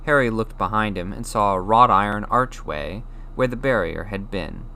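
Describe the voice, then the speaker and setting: A man's voice: lowish voice, normal speed, sharp tone. A man reading a story aloud as scripted audiobook narration. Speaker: audiobook reader.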